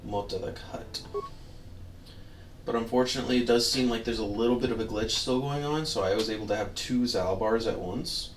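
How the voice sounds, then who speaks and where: A man with a hoarse, worn-out voice giving rambling, easygoing commentary as a game streamer. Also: lowish voice, a little fast, slight background noise. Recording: roomy, distant mic under loud music. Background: loud music.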